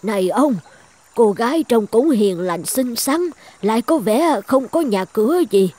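A woman voicing a kindly old village wife in an animated folk tale. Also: on the high side, measured pace. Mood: hopeful, coaxing.

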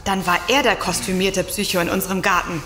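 A woman's voice: mid-range voice, fairly even intonation, brisk, sharp tone, soft background music, lightly acted. An actress playing an alert, forthright woman in a comedic audio drama.

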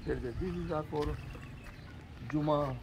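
A man leads a walking tour through a bare concrete shell, pausing often and leaning on "you know". He sounds roomy and set back from the camera, with a long ring of reverb. Steady background noise sits under his voice. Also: a little high. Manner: halting, fills pauses with "you know". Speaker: tour guide. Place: unfinished concrete building, indoors and out. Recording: echoey, distant, noisy background.

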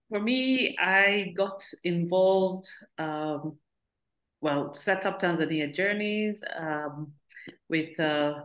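A middle-aged woman with a very low voice speaks over a video call that sounds roomy and echoey. She talks in a relaxed way, running her phrases together as a tour company founder. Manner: relaxed, loose run-on phrasing. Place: room at home.

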